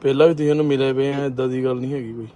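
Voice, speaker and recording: A young man speaks in a flat, even tone at a middling pitch. His voice sits in a roomy echo with some noise on the recording. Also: racing. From Punjab, India. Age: young adult. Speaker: complainant.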